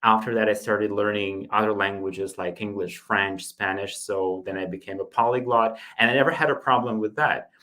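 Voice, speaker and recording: Thoughtful low-voiced man from Ukraine. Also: fairly even intonation, moderate pace, hardly any pauses, bright, forceful tone, low-quality recording. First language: Russian. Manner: reflective, personal, with frequent restarts. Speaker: interviewee. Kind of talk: interview.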